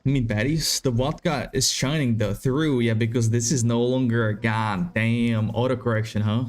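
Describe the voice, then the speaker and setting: A man's voice: mid-range voice, steady tone, normal speed. A young man with a Czech accent, a game streamer chatting casually with viewers, swearing and reading chat aloud. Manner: laid-back, swears, reads chat aloud.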